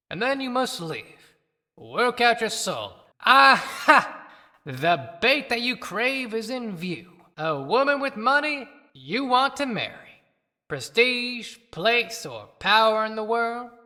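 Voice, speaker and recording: Woman doing a bitter ghostly guy reciting from the grave, bright voice, big echoey hall, very dramatic. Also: medium pitch, full character voice. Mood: bitter, sardonic. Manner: dramatic, declamatory, deliberate. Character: bitter haunted dead man speaking from the grave. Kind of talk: theatrical poetic monologue, audio play. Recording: long hall reverb.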